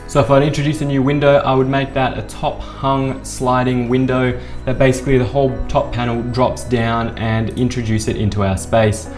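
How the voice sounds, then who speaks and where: A young man at a desk hosting an architecture software tutorial, explaining a bathroom design and gesturing as he talks. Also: mid-range voice. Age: young adult. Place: small room at a desk. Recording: echoey room with faint music.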